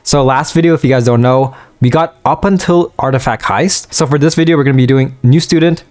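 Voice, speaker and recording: Man, casual gameplay commentary, rambling, fillers, mid pitch. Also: a little fast, a little mellow. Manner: rambling, filler-heavy, easygoing. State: voice higher than usual at night. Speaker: gaming channel host. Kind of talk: casual let's-play commentary.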